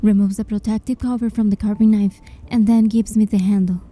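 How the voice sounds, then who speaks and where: A woman's voice: medium pitch, fairly even intonation, fairly quick, mellow. A woman reading scripted first-person story narration as the heroine of a tale. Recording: music under the voice.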